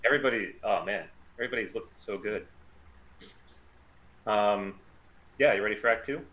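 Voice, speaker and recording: A man's voice: lowish voice, moderate pace, a bit bright, poor audio. A man chatting casually as the writer leading a table read. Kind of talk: casual chat.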